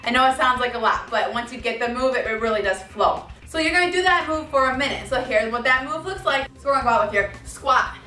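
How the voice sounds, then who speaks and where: A woman's voice: fairly high pitch, expressive, quick pace, few pauses, pushed and bright, far from the mic. A woman in her thirties giving upbeat workout instruction and counting out reps, recorded in a home gym by a camera across the room. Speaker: fitness trainer. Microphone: camera mic from across the room. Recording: echoey room, voice from afar, faint music.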